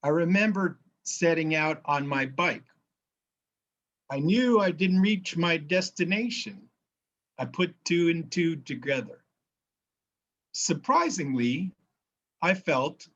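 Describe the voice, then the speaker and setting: A minister with a New Jersey accent giving a sermon, speaking slowly and carefully, sometimes restarting a word as he recovers from a brain injury. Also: fairly high pitch, animated, a bit bright, highs cut off.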